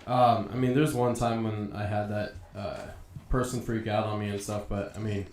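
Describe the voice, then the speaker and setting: A young man co-hosting a casual podcast, joking and animated, then trailing off quietly. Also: lowish voice, moderate pace. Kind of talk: casual chat. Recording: echoey room with faint noise.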